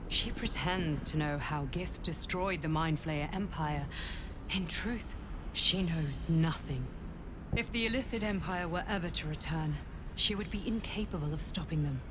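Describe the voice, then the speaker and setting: Give me a composed, secretive fantasy game guardian, fairly low voice, with clear noise behind. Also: female voice, unhurried. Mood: grave, assured. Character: composed, secretive woman guardian, a hunted rebel. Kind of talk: cinematic fantasy game dialogue. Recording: clear background ambient noise.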